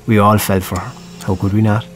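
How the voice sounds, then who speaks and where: An actor playing a probing, reflective writer with an Irish accent, narrating and talking in a radio drama. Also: male voice, lowish voice, level tone, fairly quick, lightly acted. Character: probing, reflective male writer telling a troubled friend's story.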